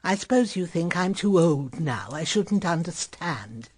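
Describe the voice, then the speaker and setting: A gentle old grandmother in a period radio play. She speaks slowly and tenderly, with an expressive rise and fall and a knowing, reflective air. The sound is close and dry. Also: lowish voice, slightly rough, dry recording. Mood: gently probing. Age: older. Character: elderly, gentle, shrewd grandmother who sits knitting. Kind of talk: period radio drama acting.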